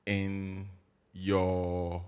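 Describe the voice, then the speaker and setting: Podcast co-host guy, kinda low voice, hyped up, lots of "you know". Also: completely flat delivery, very slow, a little mellow, smooth. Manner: enthusiastic, repeats "you know" and "yeah". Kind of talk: casual podcast interviewing.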